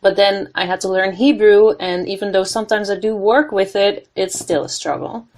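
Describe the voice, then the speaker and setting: A woman's voice: medium pitch, moderate pace, a little mellow. Dutch woman hosting an interview. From the Netherlands. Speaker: podcast host. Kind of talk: casual interview.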